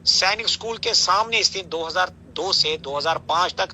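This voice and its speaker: A man's voice: sits high, normal speed. A man with a Kashmiri accent giving a heated phone interview in an emphatic, accusatory, insistent manner. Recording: bright, noisy phone call recording.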